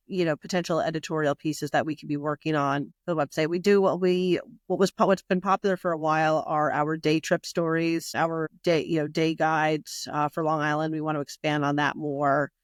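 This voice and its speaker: A woman's voice: low voice, dry recording, studio quality. A woman guest in a casual podcast interview, talking in a rambling way with many fillers and restarts. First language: not Italian. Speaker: magazine publisher and guest.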